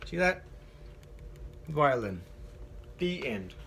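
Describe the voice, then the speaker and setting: A man's voice: mid-range voice, big pitch swings, very slow, gravelly, fairly bright tone, a little hiss or hum. A man teacher reading a picture book aloud to young children, encouraging them and asking them questions as he goes.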